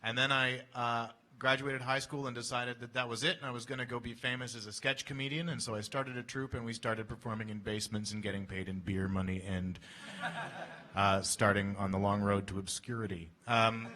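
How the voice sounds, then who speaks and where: A man from Canada, a voice actor, answering convention panel questions in a halting, wry way. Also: mid-range voice, bright, forceful tone.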